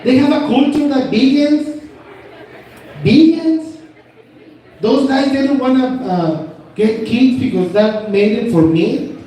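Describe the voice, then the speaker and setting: Mexican-accented man, high voice, mellow tone, broken English with Spanish slang, stand-up comic, echoey club. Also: slow, pauses often. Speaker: stand-up comedian. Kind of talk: stand-up comedy set. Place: comedy club stage. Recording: echoey room from far off, crowd behind.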